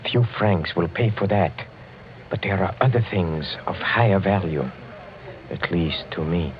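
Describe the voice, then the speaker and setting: An actor playing a suave, sinister older European count in 1940s radio style, courtly and insinuating, halting and chuckling softly. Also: male voice, deep voice. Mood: guarded, measured. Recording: muffled old 1940s radio recording, faint music.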